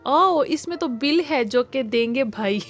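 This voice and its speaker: A woman's voice: high voice, animated, measured pace, soft background music. A woman narrating a casual family day-out vlog in a cheerful way, often mixing English phrases into her speech. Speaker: vlogger.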